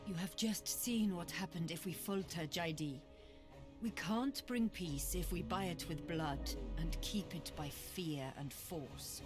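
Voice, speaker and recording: A woman's voice: fairly low pitch, a little slow, over music, lightly acted. Woman as a firm, noble commander. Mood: defiant. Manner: firm, earnest, formal. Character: noble, resolute female war hero commander. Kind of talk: video game voice acting.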